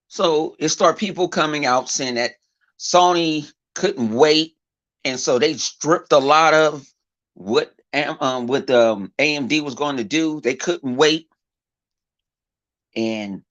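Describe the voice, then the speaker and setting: A man with a big, booming voice talks off the cuff to his viewers, circling his points with fillers, restarts and plenty of swearing. The sound is dull and lo-fi, missing its top end. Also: takes it slowly, pauses often, a bit bright. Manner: rambling, profane, full of fillers and repeats. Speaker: gaming YouTuber. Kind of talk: casual off-the-cuff vlog rant. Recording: dull lo-fi recording.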